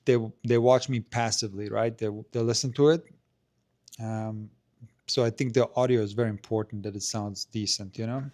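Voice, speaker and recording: A man with a low, soft voice hosts a live stream, answering viewer questions in a chatty, loose way. He hedges often, restarts sentences and fills gaps with "like" and "you know". The handheld mic held close keeps his voice near and clear. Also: fairly even intonation, moderate pace. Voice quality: low, not strong voice. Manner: chatty, hedging, many fillers and restarts. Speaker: tennis channel host. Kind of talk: live stream Q&A, casual chat. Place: indoors, talking to camera.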